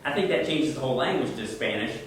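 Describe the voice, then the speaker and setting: A man's voice: mid-range voice, expressive, fast, crowd noise. A man presenting tech tips at a user group meeting, talking conversationally and answering questions, often repeating words as he goes. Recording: echoey room, mic far off.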